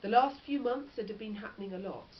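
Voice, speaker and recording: A woman's voice: medium pitch, quick pace. A woman reading a children's story aloud expressively, like a teacher. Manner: animated, with short explanatory asides. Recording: dull lo-fi audio with faint noise.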